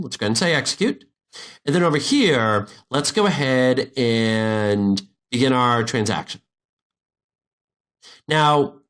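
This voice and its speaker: Guy doing a software tutorial, pitch moves around a lot, informal explainer walking thru it step by step. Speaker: instructor.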